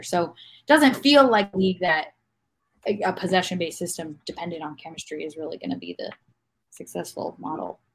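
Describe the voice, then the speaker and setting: A woman's voice: lowish voice, poor audio. A woman panelist in a casual sports discussion, analytical and articulate, speaking in long winding sentences. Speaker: podcast panelist.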